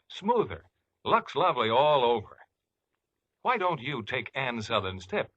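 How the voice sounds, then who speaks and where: A man's voice: medium pitch, sharp tone, breathy edge, dull audio. An American man reading a scripted 1950s radio commercial as announcer, in a polished, emphatic salesman's manner.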